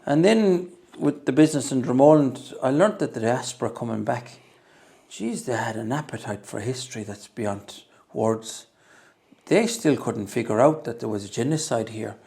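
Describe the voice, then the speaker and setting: A man's voice: medium pitch, moderate pace, creaky, mellow. An Irish man, a guest, talking earnestly and emphatically in a casual chat. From Ireland. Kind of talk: casual conversation.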